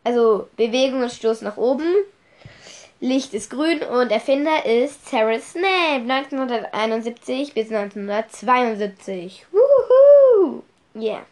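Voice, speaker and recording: A woman's voice: fairly high pitch, lively intonation, a little slow, a little mellow. A young woman with a cold, chatting casually on her solo podcast and rambling through her notes, spelling words out letter by letter. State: stuffy nose from a cold. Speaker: podcast host.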